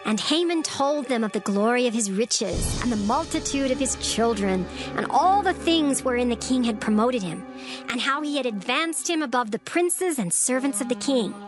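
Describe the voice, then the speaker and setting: A woman narrating a dramatized scripture recording in a measured, solemn storytelling manner. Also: fairly high pitch, expressive. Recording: narration over clear background music. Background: background music.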